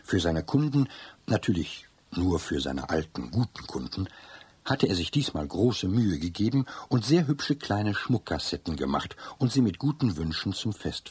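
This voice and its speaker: A man narrating a children's radio story as a calm storyteller with a deep, husky voice. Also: no room sound, heavily acted. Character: calm adult male storyteller of a children's tale.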